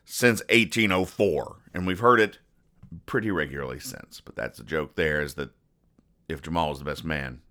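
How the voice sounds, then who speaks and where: A man hosting a podcast in a casual, rambling commentary style, explaining jokes with deadpan mock-earnestness. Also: a bass voice, moderate pace. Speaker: podcast host.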